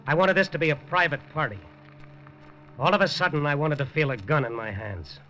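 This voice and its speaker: A man's voice: fairly high pitch, fairly quick, lightly acted. An actor playing a hard-boiled, wisecracking newspaper reporter who narrates his own story in a 1950s radio drama. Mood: narrating. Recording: dull old radio broadcast with music.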